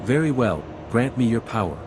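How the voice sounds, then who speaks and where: A man playing a steady, guarded adventurer hero in a fantasy video game, speaking in a measured, serious way. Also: mid-range voice, natural voice. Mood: wary, resolute. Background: music.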